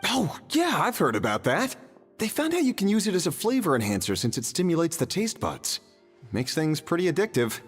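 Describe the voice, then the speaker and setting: A relaxed restaurant customer, husky and chuckling softly, his pitch swinging widely. The voice is set in roomy game audio with faint music. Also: brisk, heavily acted. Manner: relaxed, mildly awkward, chuckling. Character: easygoing male restaurant customer. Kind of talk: video game voice acting.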